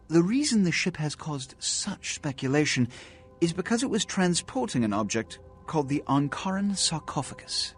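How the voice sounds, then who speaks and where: Guy acting a pompous cold vampire prince from a video game, very animated pitch, formal and condescending, some music behind. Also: lightly acted. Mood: measured, businesslike. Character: haughty aristocratic vampire prince, cold schemer.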